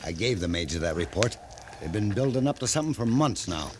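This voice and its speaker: Male actor as plain-spoken cavalry scout, grave, deferential, lower pitch, old radio drama, background noise. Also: lively intonation, a little fast, lightly acted. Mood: grave reporting. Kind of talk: 1950s western radio acting. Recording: noisy 1950s radio recording.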